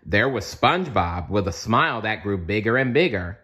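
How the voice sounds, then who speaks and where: A man's voice: on the low side, expressive, normal speed, pushed and bright. A man reading a storybook aloud as narrator, expressively and with playful asides. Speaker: storybook reader.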